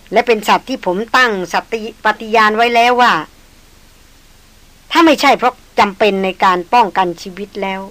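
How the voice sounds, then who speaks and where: A woman voicing a calm male jungle hunter who speaks slowly, steadily and politely in a storybook reading. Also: mid-range voice, close to an everyday voice.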